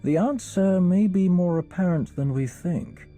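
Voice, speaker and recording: A man voicing a composed, learned scholar in a game, soft and slightly husky, with polite, measured formality. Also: high voice, soft background music. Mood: thoughtful. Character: courteous, learned male scholar of ancient relics, composed. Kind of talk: video game cutscene acting.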